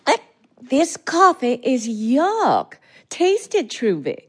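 Lively, genteel older Southern widow. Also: female voice, high-pitched, slow, breathy edge, heavily acted. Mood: disgusted. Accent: American Southern. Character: genteel, wry older Southern small-town widow, woman. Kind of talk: audio theatre drama acting.

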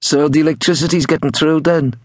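A man voicing a cheeky young male prank caller from a storybook, with a Liverpool accent and mock-innocent, quick-fire questions. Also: on the high side, fairly even intonation, dry recording, voice pushed up, close to an everyday voice. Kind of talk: acted storybook dialogue.

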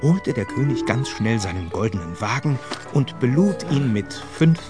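A man's voice: mid-range voice, sing-song, normal speed, over music. A man narrating a children's fairy tale in a scripted audiobook style.

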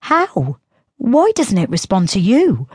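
A woman voicing a sharp-tongued young sister with mocking, quick retorts. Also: medium pitch, wildly swooping pitch, husky, dry recording, clean studio sound, voice pushed up, exaggerated voice. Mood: curious.

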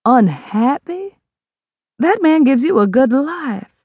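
A woman's voice: sits high, big pitch swings, slow pace, darkish tone, no echo, highs cut off, voice pushed up, heavily acted. Brief: a woman playing a no-nonsense older mom, brassy and plain-spoken, scolding, dry close sound. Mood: incredulous. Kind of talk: audiobook character voice.

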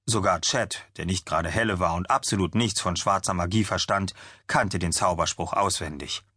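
A man with a very low voice narrates a fantasy audiobook. The recording is dry and close, with no room around the words. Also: normal speed, sharp tone, husky, no echo.

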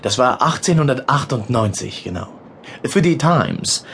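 Radio play: chatty, well-mannered male correspondent, animated intonation, light ambient noise. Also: mid-range voice, measured pace, gravelly. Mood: chatty, eager. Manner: hesitant, apologetic, slipping into English words. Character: talkative, polite, outspoken American male war correspondent. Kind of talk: audio drama dialogue.

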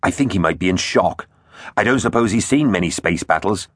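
A brainy, earnest dinosaur officer aboard a storybook spaceship, as a male reader plays him. He explains things precisely, with a widely varied, expressive pitch. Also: a little fast, no echo, clean studio sound. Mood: hesitant.

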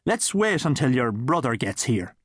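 A man voicing a calm, plain-spoken middle-aged father in an audiobook. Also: medium pitch, normal speed, slightly rough, no echo, clean studio sound.

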